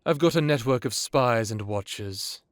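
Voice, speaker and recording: A man playing an ancient, courteous, world-weary vampire lord in an audio roleplay, speaking in a measured, knowing, genteel way. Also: medium pitch, no room sound, studio quality, close to an everyday voice. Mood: grave, knowing. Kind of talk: dramatic audio roleplay acting.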